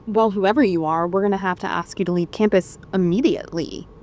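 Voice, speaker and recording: A woman's voice: mid-range voice, fairly quick, a little mellow, natural voice. A woman playing a firm, impatient member of school staff with a no-nonsense manner. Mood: stern, impatient.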